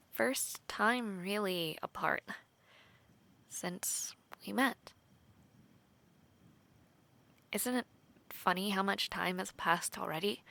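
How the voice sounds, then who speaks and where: A woman voicing an earnest, affectionate young knight trainee in an intimate audio roleplay, talking softly, often half-whispered, with light laughs and hesitant restarts. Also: medium pitch, vocal fry, exaggerated voice. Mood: tender.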